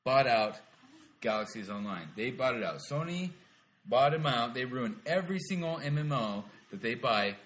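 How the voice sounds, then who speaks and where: A man's voice: medium pitch, normal speed. A man co-hosting a podcast, chatting eagerly about video games. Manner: excitable, enthusiastic, lively. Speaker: podcast co-host.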